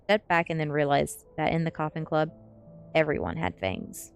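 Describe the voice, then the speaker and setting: A woman's voice: sits low, lively intonation, normal speed, vocal fry, smooth, soft background music. A woman reading a first-person story aloud as ASMR narration. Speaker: streamer reading a novel aloud.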